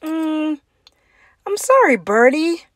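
A woman voicing a kindly bird flight teacher in a storybook, gentle, coaxing and instructive. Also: high-pitched, sing-song, slow pace, no room sound, clean studio sound, voice pushed up, full character voice. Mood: sympathetic.

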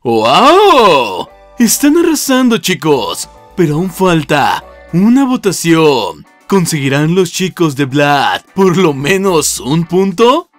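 A man playing an excitable, flamboyant contest announcer in an anime fandub, showy and drawn-out, with a Latin American accent. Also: on the high side, soft background music. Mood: excited. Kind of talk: anime comic fandub acting.

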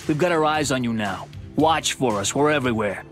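A man's voice: medium pitch, a little fast, faint music behind, close to an everyday voice. A man acting a smug, menacing, corrupt vice cop in a video game, taunting and sarcastic. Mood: smug threat.

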